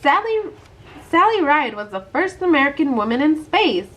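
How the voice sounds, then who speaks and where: Female reader as cartoon little girl, high-pitched, bossy, brisk, commanding know-it-all. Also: sing-song, fairly bright tone. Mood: bossy, instructive.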